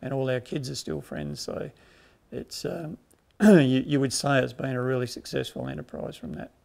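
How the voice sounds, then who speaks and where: A grain grower in his fifties with an Australian accent answers questions outdoors on a farm, speaking through a clip-on mic. His mid-range voice has a strong gravelly fry. He speaks in a measured way, often restarting and repeating words. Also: mid-range voice, darkish tone. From South Australia. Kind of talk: documentary interview answers.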